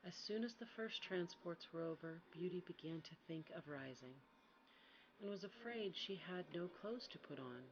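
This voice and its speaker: A woman softly reading a fairy tale as a quiet ASMR bedtime storyteller. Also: fairly low pitch, animated, normal speed, lo-fi sound, slight background noise. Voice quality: soft, quiet.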